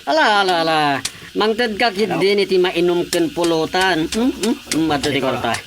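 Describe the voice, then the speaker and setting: A man's voice: sits high, expressive, moderate pace. A man voicing a rowdy, boastful drunk in a radio drama, mumbling and slurring his words. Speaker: drunk man drinking with friends. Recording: noisy radio drama recording.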